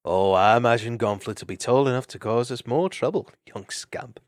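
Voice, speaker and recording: Male reader as calm hero mouse, wry, low-key. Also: normal speed, no room sound, clean studio sound, lightly acted. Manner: calm, wry, gently teasing. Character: steady brave male mouse warrior.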